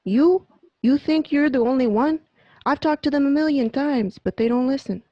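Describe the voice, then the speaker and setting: A woman's voice: sits high, normal speed, no room sound, close to an everyday voice. A woman playing a put-upon, brusque mob boss in an amateur radio play. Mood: exasperated, resigned. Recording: muffled home internet recording.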